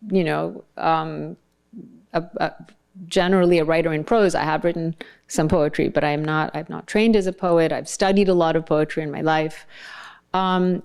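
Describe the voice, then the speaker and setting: A woman whose first language was Bengali delivers a scholarly lecture from her slides. Her voice sits on the low side and sounds smooth and resonant. She talks thoughtfully and often stops to search for the right word. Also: a little mellow. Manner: thoughtful, searching for words mid-sentence. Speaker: translator giving a keynote talk.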